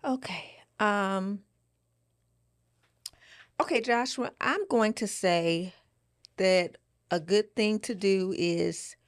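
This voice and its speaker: A woman's voice: mid-range voice, drawn-out words, pauses often, no room sound, studio quality. A woman co-hosting a podcast, giving advice in a casual, emphatic way, repeating phrases for stress. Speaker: podcast co-host.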